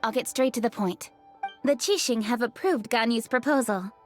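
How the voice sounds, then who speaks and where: A woman playing a brisk, dignified young official in a video game, formal, direct and self-assured. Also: fairly high pitch, close to an everyday voice. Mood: businesslike. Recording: music playing behind the voice.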